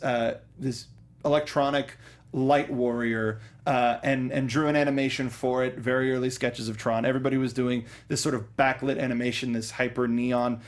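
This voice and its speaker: A young man co-hosting a podcast in casual chat, enthusiastic and often stumbling over restarts as he talks about something he loves. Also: medium pitch. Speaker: podcast co-host.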